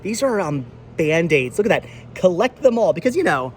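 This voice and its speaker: A man's voice: sits high, big pitch swings, moderate pace. A middle-aged American man chatting casually and enthusiastically in a rambling vlog style, often repeating words as he goes. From Pennsylvania, United States. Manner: enthusiastic, nostalgic, stammering repeats. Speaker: host of a thrifting vlog. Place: flea market, among vendor stands. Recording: clear background noise, flea market ambience.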